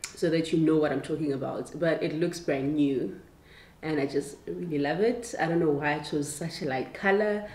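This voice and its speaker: A woman's voice: low voice, moderate pace, darkish tone. A young South African woman chatting casually to the camera as a lifestyle vlogger at home. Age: young adult. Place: indoors at home, kitchen and bedroom. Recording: roomy echo, clear background noise.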